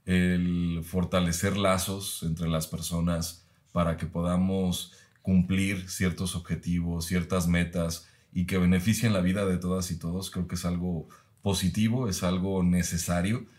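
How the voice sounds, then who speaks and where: Deep-voiced thoughtful man in his thirties. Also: a little mellow. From Mexico. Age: 30s. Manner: measured, thoughtful, with frequent "eh" pauses. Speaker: guest, university professor. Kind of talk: reflective podcast conversation.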